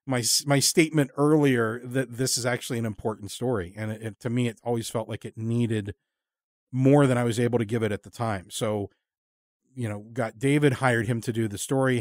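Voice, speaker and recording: A man's voice: mid-range voice, fairly even intonation, brisk, dry recording, studio quality. Casual male interviewer, rambling and earnest. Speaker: podcast host and interviewer.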